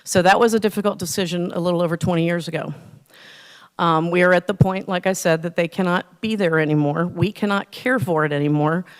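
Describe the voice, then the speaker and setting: A woman in her fifties speaks haltingly at a council hearing, her voice a little low and smooth. She is emotional and pauses often with ums. The long reverb of a council chamber trails her words. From Ohio. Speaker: resident speaking at public hearing. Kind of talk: public comment at council meeting.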